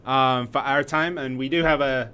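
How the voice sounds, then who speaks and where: A man's voice: mid-range voice, fairly even intonation, moderate pace, sharp tone, smooth. A man in his thirties co-hosting a live game event stream in a laid-back, jokey way. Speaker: game community manager. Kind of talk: casual livestream co-hosting. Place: studio in Korea.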